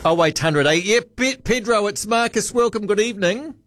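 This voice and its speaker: A man's voice: high voice, lively intonation, normal speed, a bit bright, no room sound, studio quality. A male New radio host chatting jovially with a caller and thinking aloud as he talks. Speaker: radio talk show host. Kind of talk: casual phone-in chat.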